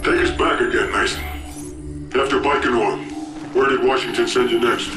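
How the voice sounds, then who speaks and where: A man acting a cold interrogator in a game cutscene, pressing his captive with controlled insistence. The mid-pitched voice echoes heavily over prominent music. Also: moderate pace, natural voice. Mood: cold, insistent.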